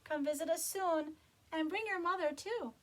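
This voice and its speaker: A woman's voice: extremely high pitch, moderate pace, a bit bright, pitched above the performer's own voice. Jessica, a woman doing a cheery little frog girl. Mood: fond.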